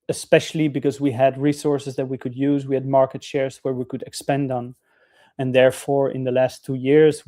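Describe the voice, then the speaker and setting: Flat, mellow-voiced Dutch-born man. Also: mid-range voice. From Netherlands. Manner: earnest, long winding sentences. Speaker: franchise partner, guest speaker. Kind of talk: webinar storytelling. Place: office.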